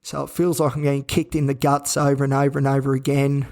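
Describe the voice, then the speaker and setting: A man hosting a solo podcast from a hospital room, his voice croaky and worn out, talking flatly and wearily with rambling restarts. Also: medium pitch, fast. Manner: flat, weary, rambling with restarts. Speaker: podcast host. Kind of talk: candid solo podcast monologue. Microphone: own recording gear.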